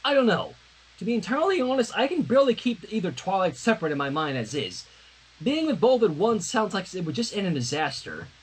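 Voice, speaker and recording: A man's voice: high voice, big pitch swings, fairly quick, breathy edge, heavily acted, poor audio. A man voicing a troubled, exasperated teenage girl in a cartoon fan story, weary and torn. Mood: doubtful.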